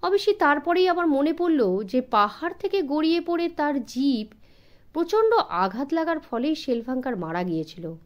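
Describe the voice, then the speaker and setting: A woman reading a suspense story as an audiobook narrator, in an expressive scripted style suited to children. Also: high voice, normal speed, lo-fi sound.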